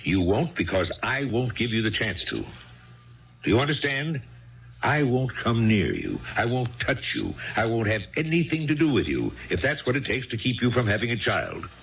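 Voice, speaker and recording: An actor playing a cold, domineering bookish husband in a 1970s radio drama, curt, emphatic and imperious. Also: male voice, medium pitch, wildly swooping pitch, moderate pace, gravelly, fairly bright tone, heavily acted. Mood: angry, threatening. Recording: dull lo-fi 1970s radio broadcast.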